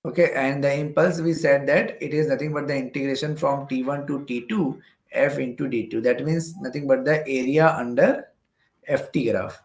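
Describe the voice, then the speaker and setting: An Indian-accented man explains worked problems like a classroom teacher, repeating key phrases as he goes. His mid-pitched voice has a creaky, gravelly edge. The recording carries noticeable room echo. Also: fairly even intonation, normal speed. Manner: repeats phrases and checks with "okay". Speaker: physics teacher. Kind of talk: explanatory exam-prep lecture working through problems step by step. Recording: roomy echo.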